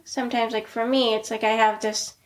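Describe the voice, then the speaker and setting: Woman, middle-aged, soft-spoken, narrow pitch, casual chat, filler words. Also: brisk. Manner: soft-spoken, many "like" fillers, steady even delivery. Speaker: podcast co-host, pediatrician.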